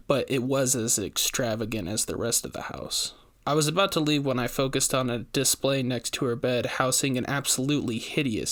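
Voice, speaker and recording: A man narrating a scripted first-person gothic mystery story in a measured, brooding manner. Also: mid-range voice, fairly bright tone.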